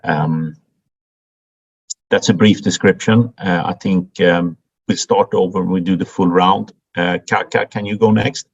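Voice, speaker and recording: A man who grew up in Sweden, introducing himself in a measured way on an online meeting. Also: deep voice, steady tone, vocal fry, slightly rough. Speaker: meeting host. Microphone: video call. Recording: dull lo-fi video call audio.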